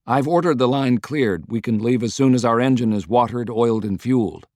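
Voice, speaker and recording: A man voicing a dutiful, deferential army officer reporting crisply in an audiobook. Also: medium pitch, fairly quick, darkish tone, no room sound, studio quality, natural voice. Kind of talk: audiobook character dialogue.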